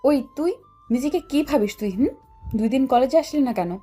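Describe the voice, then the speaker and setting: A woman's voice: high voice, animated, normal speed, dark tone, breathy edge. A woman playing a bossy, hot-tempered, romantic college girl in an audio love story. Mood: scolding, demanding. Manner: demanding, scolding, pleading. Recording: music bed under the voice.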